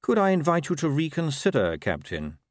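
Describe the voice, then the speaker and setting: A man voicing a wily, urbane ruler of a city in an audiobook, measured, deadpan and ironic. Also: a little high, no room sound, clean studio sound, voice pushed up. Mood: dry, measured.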